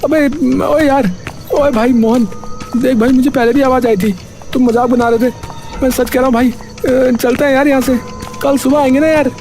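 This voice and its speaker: A man's voice: very high voice, fairly even intonation, moderate pace, a little mellow, faint music behind. A man voicing a timid young village friend in a cartoon story, whining and pleading in slangy speech. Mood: scared pleading.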